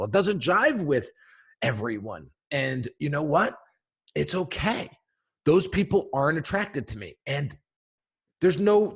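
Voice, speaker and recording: A man with a deep voice, a brand coach giving an energetic teaching talk straight to viewers, often checking in with "right?" and "okay?". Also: husky. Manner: energetic, emphatic, addresses viewers directly with frequent "right?" and "okay?". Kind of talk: instructional video talk.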